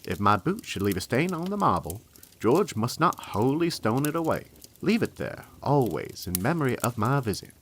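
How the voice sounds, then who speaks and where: A man's voice: medium pitch, sing-song, moderate pace, slight background noise, close to an everyday voice. An actor playing a kindly, playful Santa Claus reading his letter fondly and expressively to a child. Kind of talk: dramatic reading of a letter in audio drama.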